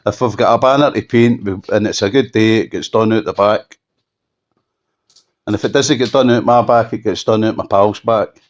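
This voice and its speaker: A Scottish man giving an unscripted telephone interview, halting and often repeating himself for emphasis. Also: fairly low pitch. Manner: halting, repetitive, emphatic restatements. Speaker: campaigner being interviewed. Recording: dull lo-fi phone call.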